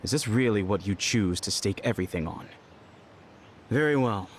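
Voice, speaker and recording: An actor playing a cool, skeptical, proud young man in a video game, speaking in a measured, formal way. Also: on the low side, expressive, a little hiss or hum, natural voice. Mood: cool skepticism.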